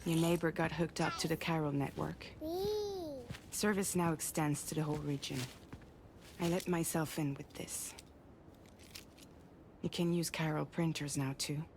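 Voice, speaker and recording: An actress playing a composed, earnest woman on a grave mission in a video game, speaking in a measured, serious way. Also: little pitch movement, noisy background. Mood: light, friendly. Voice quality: low, creaky. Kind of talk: cinematic video game acting.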